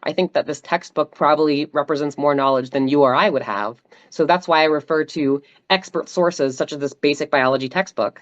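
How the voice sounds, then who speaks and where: A woman streamer debating a stranger, measured and precise, making her points carefully. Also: sits low, dry recording, muffled recording. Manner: measured, precise, cites a textbook. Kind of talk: calm argumentative debate.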